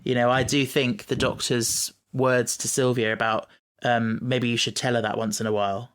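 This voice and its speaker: A man's voice: mid-range voice, level tone, moderate pace, a bit bright, clear, resonant tone. A man hosting a casual fan podcast, talking enthusiastically and tentatively, often checking in with little tag questions. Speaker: podcast host.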